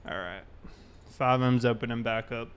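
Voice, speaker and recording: A man with a smooth, clear voice at an average pitch hosts a game livestream. He talks informally, thinking aloud as he goes and chatting with his viewers in a loose, casual way. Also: normal speed. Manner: informal, thinking aloud while reading chat. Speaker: live streamer, host.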